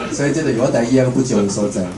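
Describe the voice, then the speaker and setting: A man's voice: mid-range voice, a little mellow. A man with a Taiwanese accent, a radio host, gives an informal talk in a conversational way, putting rhetorical questions to his listeners. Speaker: radio host and station director giving a talk. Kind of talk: informal spoken lecture. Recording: echoey room with faint background noise.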